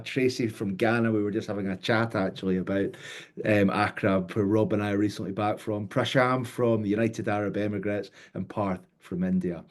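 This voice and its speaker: A man's voice: fairly low pitch, a little mellow. Man moderating an online student panel. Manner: welcoming, structured, prompting guests with questions. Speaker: webinar moderator. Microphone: video call.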